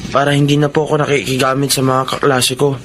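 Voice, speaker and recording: An actor playing a timid, polite schoolboy in a Filipino radio drama, speaking hesitantly and respectfully. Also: male voice, medium pitch, level tone, quick pace, a bit bright, a little hiss or hum, lightly acted. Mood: timid, pleading. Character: timid, polite schoolboy son asking his mother.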